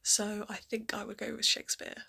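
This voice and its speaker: A woman chatting casually as a cast member of a fan audio drama, with a husky, creaky voice. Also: mid-range voice, completely flat delivery, brisk, sharp tone. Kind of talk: casual chat to camera.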